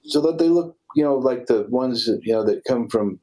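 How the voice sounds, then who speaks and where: Male radio guest, mid-range voice, unscripted, halting and rambling delivery. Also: mid-range voice, animated, mellow, low-quality recording. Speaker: ministry director, radio show guest. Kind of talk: unscripted interview talk.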